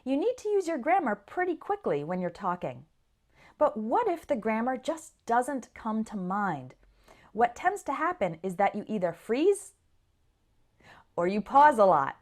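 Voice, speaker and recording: Animated, high-voiced woman teaching. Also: measured pace. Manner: clear, explanatory, addresses learners directly. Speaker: English teacher. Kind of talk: instructional lesson to camera or listeners.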